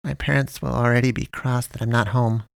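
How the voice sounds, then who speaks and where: A man's voice: medium pitch, steady tone, fairly quick, vocal fry, clear, resonant tone, no echo, clean studio sound, lightly acted. A man voicing a shy, bullied young schoolboy who speaks in a downcast way. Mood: timid.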